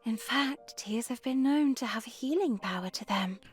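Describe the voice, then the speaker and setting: A woman playing a kind young nun in a visual novel game, soothing and maternal, with gentle hushing. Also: on the high side, normal speed, lightly acted.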